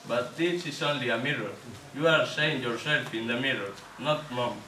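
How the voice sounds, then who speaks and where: A man's voice: mid-range voice, normal speed, bright, forceful tone, lightly acted. Young man playing puppet-show father, distant and echoey. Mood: stern, questioning. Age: young adult. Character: kindly father who gives gifts, sometimes stern. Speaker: student puppeteer. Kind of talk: amateur puppet show acting. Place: indoor day centre room, puppet booth. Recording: echoey room, distant camera, noisy.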